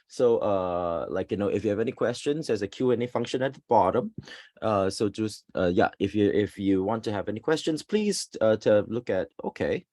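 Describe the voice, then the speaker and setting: A man in his thirties from Singapore hosting a podcast over a video call, halting with many fillers and restarts. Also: lowish voice, expressive, darkish tone, no echo, clean studio sound. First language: Singaporean English. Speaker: podcast host and association president. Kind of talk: casual live-stream chat.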